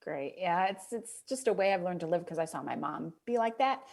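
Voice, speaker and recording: A middle-aged woman with a Michigan accent, a guest author telling stories in a relaxed, reflective way, laughing easily. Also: fairly low pitch, brisk, creaky. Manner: reflective, storytelling, easy laughs. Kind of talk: conversational interview.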